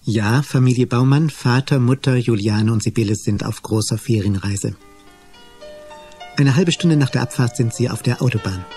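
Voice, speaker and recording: An actor narrating a 1980s German children's radio play as a measured storyteller. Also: male voice, medium pitch, close to an everyday voice. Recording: loud music behind voice.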